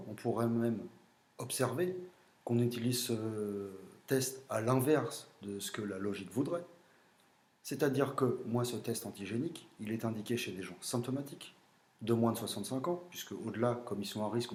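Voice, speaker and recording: A man's voice: on the low side, darkish tone, echoey room. A man, a general practitioner with a French accent, explaining his medical views in a blunt, opinionated monologue with frequent fillers.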